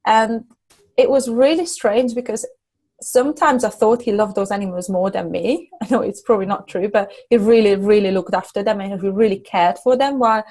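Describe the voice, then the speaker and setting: A middle-aged woman from Hungary with a Hungarian accent, talking earnestly as a guest business coach, halting and restarting often. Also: mid-range voice, a little mellow. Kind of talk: casual interview chat.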